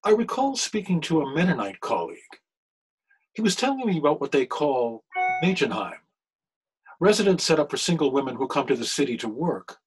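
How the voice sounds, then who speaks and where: A man playing an anguished, scholarly clergyman in a period radio mystery, speaking with formal, deliberate diction. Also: on the high side, very animated, breathy edge, faint music behind, poor audio. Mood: thoughtful. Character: anguished, scholarly older clergyman posing as crime boss.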